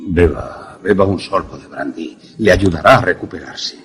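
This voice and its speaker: An actor playing a courteous, eloquent older professor and inventor in a suspense radio play, speaking formally and theatrically with small hesitant repetitions. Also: male voice, low-pitched, moderate pace, fairly bright tone, husky, soft background music, full character voice. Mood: apologetic, concerned. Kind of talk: Spanish-language suspense radio drama acting.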